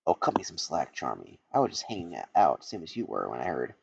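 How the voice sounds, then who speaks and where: A man's voice: very deep voice, very animated, brisk, creaky, slightly rough, no echo, pitched below the performer's own voice, exaggerated voice. A man voicing a blunt, wry young male echidna guardian, in a dull lo-fi recording.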